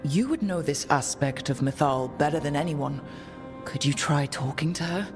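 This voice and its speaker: A man's voice: fairly high pitch, expressive, normal speed. A man playing an earnest young elven adventurer in a fantasy game, speaking plainly and directly. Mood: wary. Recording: music under the voice.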